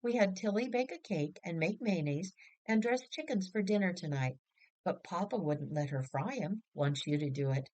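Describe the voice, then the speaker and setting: A woman's voice: fairly low pitch, animated, moderate pace, a little mellow, natural voice. A woman voicing eager, folksy family members chattering their news in a storybook reading.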